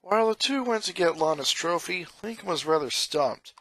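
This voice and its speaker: A man reading a story aloud as an expressive amateur narrator. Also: sits high, moderate pace, no room sound. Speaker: narrator reading fan fiction.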